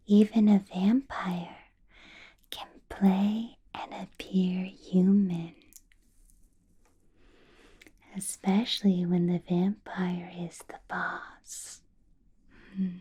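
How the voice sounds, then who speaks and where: Ancient vampire boss, female, acted by a woman: soft mellow whisper, unhurried and deliberate, falsely pleasant with menace underneath, intimate ASMR roleplay. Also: medium pitch, steady tone, full character voice. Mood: smooth, predatory. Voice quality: breathy whisper. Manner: slow, deliberate, teasing, with lingering pauses.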